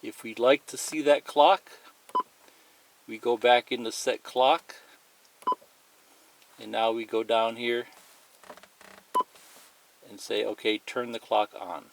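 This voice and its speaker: Clear background noise sits under a man's voice in an amateur recording. He talks slowly at a middling pitch, walking through a product in a casual, plain, step-by-step way. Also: steady tone. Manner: plain-spoken, step-by-step explaining. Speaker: tutorial presenter. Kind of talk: casual hands-on product demonstration. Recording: clearly noisy amateur recording, clicks and beeps.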